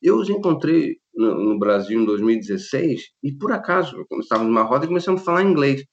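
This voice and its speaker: A middle-aged Brazilian man talking in an interview, animated and quick to laugh, often restarting his sentences. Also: mid-range voice, dull audio. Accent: Brazilian Portuguese. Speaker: interview guest, lighting designer. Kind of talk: relaxed conversational interview.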